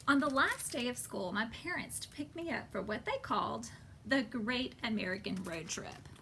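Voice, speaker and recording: A woman's voice: on the high side, animated, normal speed, sharp tone, slight background noise. A woman reading as a sulky, screen-loving girl who grumbles dramatically through a storybook road trip. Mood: sulky, grumbling.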